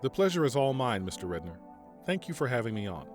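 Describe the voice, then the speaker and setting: A man's voice: medium pitch, soft background music, close to an everyday voice. A man playing a composed, formal mercenary general. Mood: measured. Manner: calm, formal, measured. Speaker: military general. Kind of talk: audio drama acting.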